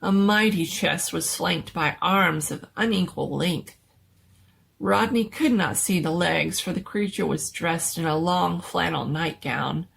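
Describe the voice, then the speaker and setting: A woman's voice: lowish voice, creaky, husky. A woman narrating a scary story as a dramatic reading, in a scripted storytelling style.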